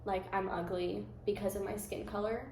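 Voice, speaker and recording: A young American woman chatting casually, talking candidly and reflectively in a soft-spoken way. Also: mid-range voice, steady tone, normal speed, roomy sound, slight background noise. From United States. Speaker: guest. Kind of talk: casual chat.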